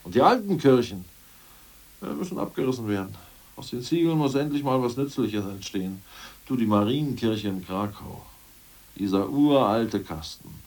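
A man playing a crude, scheming ousted party functionary in a radio play, boastful and plotting, muttering one moment and loud the next. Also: mid-range voice, animated, moderate pace, distant mic. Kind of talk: 1980s radio play acting.

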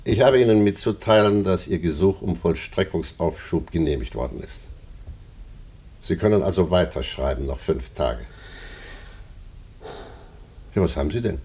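An actor in a German radio play playing a cold, pedantic prison director with a dark, husky voice and formal manner. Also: male voice, sits low, lively intonation, moderate pace, long pauses. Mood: officious.

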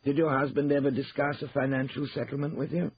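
A man voicing a stern, disapproving senior lawyer in an audiobook, formal, deliberate and pompous. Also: medium pitch, flat delivery, breathy edge, lo-fi sound, pitched above the performer's own voice. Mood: insinuating. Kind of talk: acted audiobook dialogue.

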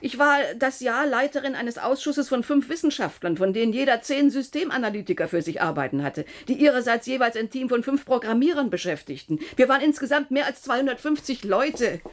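A self-possessed female scientist played by a woman in a radio play. She sounds intelligent and somewhat weary under pressure, at a natural female pitch. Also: lively intonation, a little fast, hardly any pauses, close to an everyday voice. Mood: defensive. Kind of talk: radio drama acting.

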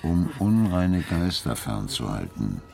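An actor playing a solemn gentleman guiding a seance in a radio drama, giving hushed-room instructions with gravity. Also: deep voice, big pitch swings, takes it slowly, gravelly, exaggerated voice. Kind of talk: German radio drama acting.

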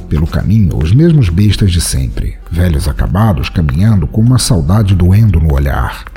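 A man's voice: deep voice, big pitch swings, normal speed, mellow, soft background music. A man playing a cynical, world-weary poet of twenty-nine, narrating a brooding, sardonic first-person audiodrama.

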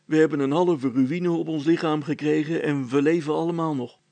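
A man voicing a practical, plain-spoken father in a storybook, with a brisk, informal manner. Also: a little high, fairly even intonation, vocal fry, mellow, no echo, voice pushed up. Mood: amused.

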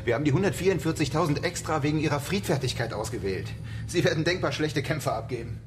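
A man's voice: a little high, expressive, fast, music in the background, lightly acted. An actor playing an anxious, stubborn male space engineer in a German radio drama. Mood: worried, resolute.

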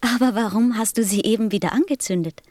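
A woman's voice: fairly high pitch, fast, darkish tone, no echo, lightly acted. Woman voicing an earnest, curious little prince. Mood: curious, puzzled. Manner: innocent, questioning. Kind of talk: German audio drama acting.

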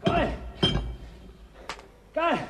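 An actor playing an excitable, greedy scavenger in a radio drama. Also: male voice, very slow. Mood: elated. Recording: echoey, with clinking metal noise.

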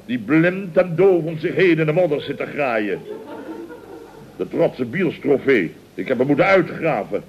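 An actor playing a pompous, excitable, sentimental middle-aged contractor boss in radio comedy, blustering, stammering and repeating himself. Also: male voice, on the high side. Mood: excited bluster. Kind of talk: 1970s Dutch radio comedy acting. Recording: dull vintage radio recording.

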